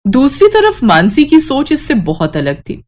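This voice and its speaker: A woman's voice: high-pitched, huge pitch swings, measured pace, a little mellow, a little hiss or hum. A woman storyteller giving scripted narration of a family moral story.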